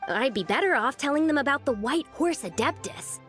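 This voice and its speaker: A woman's voice: sits high, lively intonation, brisk, fairly bright tone, soft background music. A woman playing an earnest, hesitant young woman in a video game. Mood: doubtful. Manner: hesitant, earnest, with stammers and sighs. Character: earnest, hesitant young woman from a strict tea-growing family. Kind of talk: video game character acting.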